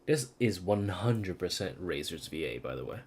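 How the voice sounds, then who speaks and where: A game streamer who reacts excitedly and swears freely while playing. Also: sits low, normal speed, breathy edge. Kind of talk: reacting to a game and reading dialogue aloud. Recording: game music behind.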